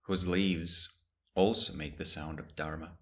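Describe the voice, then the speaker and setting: A man with a very deep, low voice leads a guided meditation. He speaks slowly and calmly, leaving long pauses between short phrases. His tone stays soft and steady throughout. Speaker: meditation teacher.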